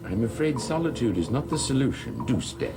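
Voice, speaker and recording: Actor as silky, menacing gentleman, wildly swooping delivery. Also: medium pitch, very animated, brisk, noisy background, natural voice. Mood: mock politeness. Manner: silky, mocking, formal. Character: suave, menacing married gentleman, mockingly courteous master of manners. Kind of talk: gothic soap opera acting.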